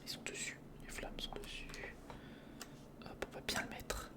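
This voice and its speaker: A person whispering informal ASMR commentary in short step-by-step remarks while building, speaking directly to the viewer. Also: unhurried. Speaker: ASMR video creator and host.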